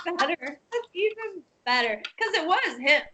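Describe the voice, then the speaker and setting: Bubbly high-voiced woman host. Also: moderate pace, heavy background noise. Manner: giggly, animated storyteller. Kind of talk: casual chat with a friend.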